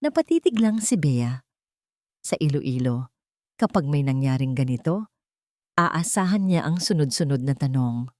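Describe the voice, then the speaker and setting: Female storyteller, lowish register, scripted romantic drama narration, dry studio-like sound. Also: expressive, measured pace, pauses often, darkish tone, no echo.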